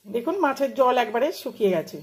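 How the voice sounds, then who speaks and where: A woman's voice: a little high, lively intonation, fast talker. A woman, a home cook narrating a recipe step by step in plain, short, casual phrases. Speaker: home cook hosting a cooking channel.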